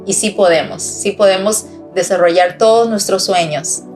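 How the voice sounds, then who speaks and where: A woman's voice: mid-range voice, a little fast, over music. A middle-aged woman from Costa Rica with a Costa Rican accent, giving an earnest, encouraging personal testimony over a video call. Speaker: guest speaker.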